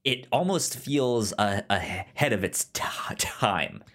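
A man in casual film discussion, stammering on syllables and often halting to rephrase as he goes. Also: lowish voice, expressive, a bit bright. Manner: halting, rambling, circles back to rephrase. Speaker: film podcast host.

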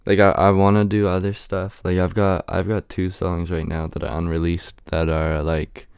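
A young man rapper answering interview questions in a raspy, deep voice, halting with many fillers. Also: level tone, darkish tone, no echo, clean studio sound. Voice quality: raspy and deep right now.

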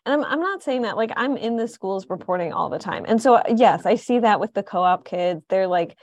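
A woman's voice: mid-range voice, expressive, moderate pace, dry recording. A woman with an American accent hosting a conversational interview, phrasing her thoughts in a reflective, tentative way. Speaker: host, arts reporter.

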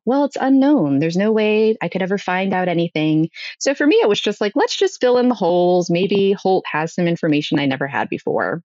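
Low-voiced woman telling a personal story. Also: darkish tone, no room sound, muffled recording. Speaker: podcast guest. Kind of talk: personal storytelling in a conversational interview.

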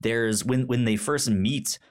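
A man co-hosting a podcast in a casual discussion, talking haltingly and often repeating and stumbling over his words. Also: mid-range voice. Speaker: podcast co-host. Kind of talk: casual book discussion chat.

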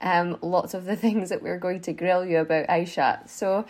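A woman's voice: fairly low pitch, steady tone, moderate pace, darkish tone. A woman hosting a conversational podcast in an informal, encouraging way. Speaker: podcast host.

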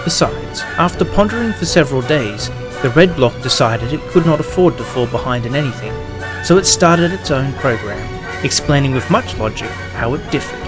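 Male story narrator over music. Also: mid-range voice. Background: background music.

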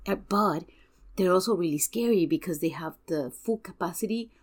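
Woman w a low voice, casual podcast guest, really earnest and emphatic, stops to find her words. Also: husky. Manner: earnest, emphatic, halting as she searches for words. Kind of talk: casual film discussion.

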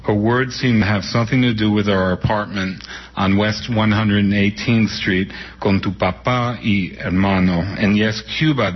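Man in his sixties, softly reminiscing. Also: fairly low pitch, breathy edge. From New York City. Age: 60s. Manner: unhurried, reminiscing, with frequent pauses and fillers. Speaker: author and guest. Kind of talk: reflective storytelling and reading from his book. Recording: dull radio broadcast sound.

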